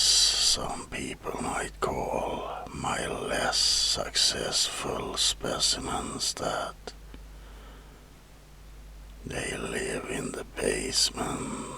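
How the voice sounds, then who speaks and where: Whispering, gravelly, sinister mad doctor. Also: male voice, very deep voice, pushed and bright, exaggerated voice. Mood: grandiose, cold. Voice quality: breathy whisper with gravelly creak. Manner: slow, deliberate, menacing, theatrical pauses. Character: sinister mad asylum doctor, over eighty years studying, obsessed with immortality. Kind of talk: whispered ASMR horror roleplay.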